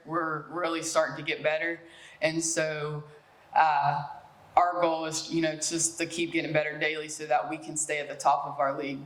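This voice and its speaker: A woman basketball coach, answering a reporter's questions in a relaxed, rambling way full of um and you know. Her voice stays fairly flat and even, and it rings around an echoey room. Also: low-pitched. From West Virginia, US. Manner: relaxed, many fillers and restarts. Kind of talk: media day interview answers.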